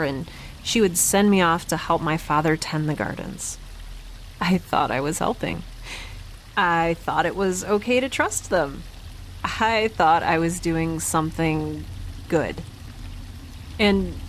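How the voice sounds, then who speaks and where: A woman playing a guilt-ridden young scientist keeping an audio log in a halting, reflective, self-questioning way, as in an audio drama. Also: fairly low pitch, slight background noise, low-quality recording. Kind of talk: audio drama monologue, introspective.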